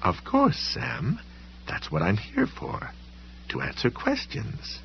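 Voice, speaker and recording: A man voicing a polite, condescending woman librarian in an audiobook story, with a smooth, quietly menacing manner. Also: medium pitch, wildly swooping pitch, takes it slowly, highs cut off, a little hiss or hum, pitched above the performer's own voice, full character voice. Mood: breezily polite. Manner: smooth, coolly dismissive, faintly patronizing.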